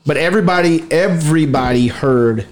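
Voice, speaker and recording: A man's voice: a little high, normal speed. A man on a paranormal investigation team, talking casually and emphatically, often repeating himself. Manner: repetitive, restarts often, emphatic. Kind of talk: casual group discussion.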